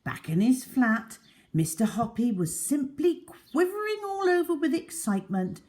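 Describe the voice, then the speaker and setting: A woman storyteller reading a children's story aloud in an expressive, deliberate and emphatic way. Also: high voice.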